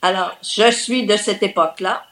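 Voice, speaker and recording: Older woman w/ québécois accent, chatty and upbeat, mid pitch. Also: steady tone, moderate pace, a bit bright. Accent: Québécois French. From New Brunswick, Canada. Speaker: interview guest. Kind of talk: casual remote interview.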